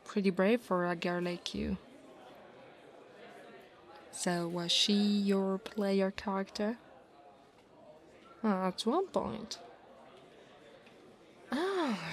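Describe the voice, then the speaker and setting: A woman's voice: mid-range voice, slow, pauses often, natural voice. A woman voicing a proud, insecure young dragon bully in an ASMR roleplay, teasing and haughty. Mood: smug, teasing.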